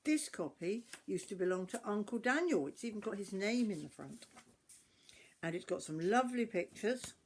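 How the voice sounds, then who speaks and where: A woman's voice: medium pitch, lively intonation, normal speed, darkish tone, slight background noise. An older woman with a British accent, a grandmother reading a Christmas story expressively to children.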